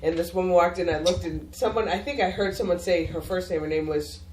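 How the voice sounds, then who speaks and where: A woman in her forties telling a quick, laughing family story at a party. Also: on the low side, darkish tone, slight background noise. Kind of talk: casual storytelling. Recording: roomy, distant home video with crowd chatter.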